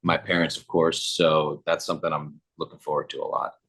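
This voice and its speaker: Low-voiced guy in his 20s, from germany, chill upbeat interview answers, lots of ums. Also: fairly quick. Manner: relaxed and upbeat, many "um" fillers. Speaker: guest, football player.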